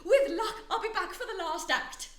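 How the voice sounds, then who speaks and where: Actress as cheerful local ally, female, high pitch, breezy, echoey room, radio play. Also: normal speed, a bit bright. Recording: roomy echo.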